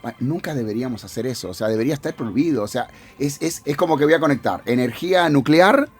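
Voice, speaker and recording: A man's voice: mid-range voice, expressive, quick pace, breathy edge. A man with a Uruguayan accent, talking conversationally as a guest on a radio panel. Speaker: panel guest, tech executive.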